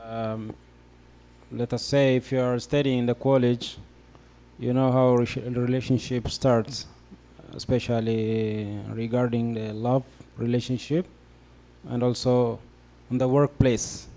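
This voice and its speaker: A man's voice: mid-range voice, level tone, a little slow, warm tone. A young man from Ethiopia speaking on a discussion panel, hesitant and full of fillers as he explains his answer. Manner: hesitant, many fillers and restarts. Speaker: panel guest.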